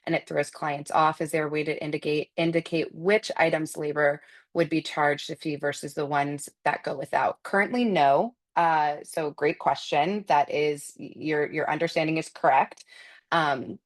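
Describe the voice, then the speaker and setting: A woman presenting software and answering customer questions in an unscripted webinar, explaining step by step and often correcting herself as she goes. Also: sits low.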